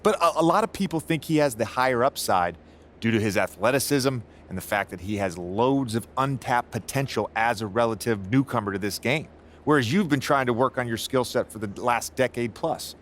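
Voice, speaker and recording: An actor playing a probing, articulate male sports interviewer in a video game, asking questions in a measured, analytical way. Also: medium pitch, lively intonation, a bit bright, close to an everyday voice. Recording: clear background noise.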